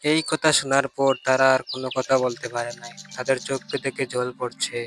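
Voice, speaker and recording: A man's voice: medium pitch, level tone, normal speed, fairly bright tone, soft background music. A man narrating a scripted cartoon story.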